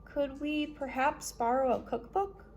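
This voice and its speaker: A woman's voice: high voice, fairly even intonation, unhurried, a little mellow, a little hiss or hum. A woman voicing a studious, bookish orphan boy in a storybook, polite and earnest. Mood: hesitant, polite. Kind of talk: storybook character voice.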